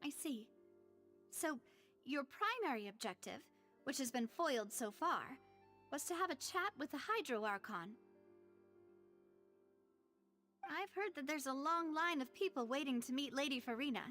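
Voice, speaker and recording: High-voiced, lively young gang boss. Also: female voice, normal speed. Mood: polite. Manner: articulate, eloquent, dramatic. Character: confident young female gang boss, poised, theatrical, playful. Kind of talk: anime video game voice acting. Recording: faint music behind the voice.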